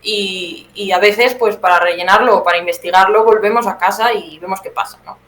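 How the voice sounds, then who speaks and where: A woman's voice: medium pitch, fairly even intonation, brisk, a bit bright. Young Spanish woman in a roomy, noisy recording. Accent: Spanish from Spain. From Teruel, Spain. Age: young adult. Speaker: guest playwright. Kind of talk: conversational interview answers. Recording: roomy echo, clear background noise.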